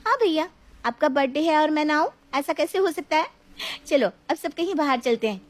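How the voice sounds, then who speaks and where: Woman acting whiny young wife, high and animated. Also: brisk, no room sound. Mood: eager. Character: headstrong, demanding young married woman, petulant and entitled. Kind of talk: dramatized moral story voice acting.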